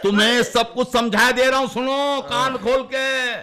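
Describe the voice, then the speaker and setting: An actor playing a bossy, jovial friend who insistently and chattily explains home cures in a radio play. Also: male voice, very high-pitched, fairly even intonation, unhurried, crowd noise. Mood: insistent, lecturing. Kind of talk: Hindi-Urdu radio play acting.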